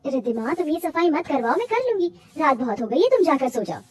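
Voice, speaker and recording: A woman's voice: sits high, very animated, brisk, slight background noise. A woman voicing a meek, hardworking young daughter-in-law in a cartoon story, polite and weary. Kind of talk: cartoon moral-story acting.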